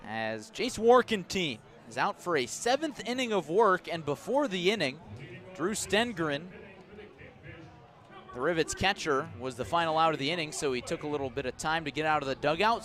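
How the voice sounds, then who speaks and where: A man doing live baseball play-by-play on the radio at an outdoor ballpark, measured between plays and rising with the action. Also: a little high, fairly bright tone. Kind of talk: live sports commentary.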